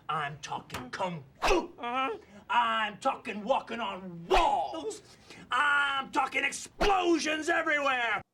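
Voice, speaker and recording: A person telling a story blow by blow in an excited, dramatic, emphatic and colloquial way. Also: high voice, vocal fry, slight background noise.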